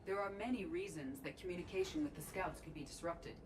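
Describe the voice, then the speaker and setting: A woman's voice: on the low side, fast, close to an everyday voice. A woman playing a poised, grave alien officer in a video game, speaking formally and precisely. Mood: grave, measured. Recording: noisy game ambience behind voice.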